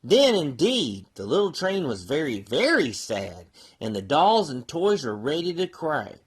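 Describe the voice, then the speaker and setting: A woman reads a children's story aloud. Her delivery is unhurried and highly expressive, with pitch moving widely and important words drawn out for emphasis. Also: slightly rough. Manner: slow, animated, drawn-out emphasis. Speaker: storybook reader.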